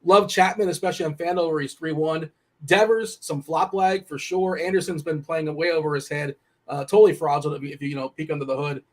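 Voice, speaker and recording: A man's voice: a little high, fairly even intonation, a little mellow. A young man analysing fantasy baseball picks in casual chat, rambling and correcting himself as he goes. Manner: rambling, self-correcting, quick asides. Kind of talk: casual sports betting chat.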